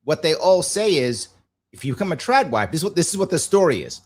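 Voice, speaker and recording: Guy doing live opinion show, highish voice goes up and down a lot, argumentative, repeats stuff for emphasis. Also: very animated, fast talker, breathy edge. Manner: emphatic, repeats phrases for effect; blunt, argumentative. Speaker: talk show host.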